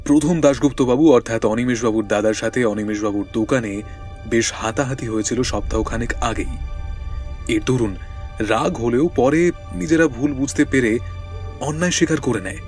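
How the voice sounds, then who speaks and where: An actor playing a shrewd, composed detective in an audio drama, speaking deliberately and formally as he explains. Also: mid-range voice, darkish tone, soft background music, close to an everyday voice. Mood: stern, explaining. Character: shrewd, composed male detective unravelling a murder, husband. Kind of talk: Bengali audio drama acting.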